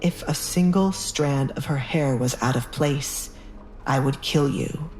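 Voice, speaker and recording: Woman doing a dangerous young duke type, low threatening tone, possessive n unhinged, romance audio drama with music behind. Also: unhurried, darkish tone, poor audio. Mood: menacing, mocking. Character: menacing, possessive young nobleman with a sword, quietly unhinged.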